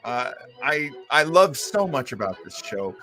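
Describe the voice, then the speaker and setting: Animated man hosting, faint music behind. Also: mid-range voice, a little slow. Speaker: host. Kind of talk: casual chat about old-time radio.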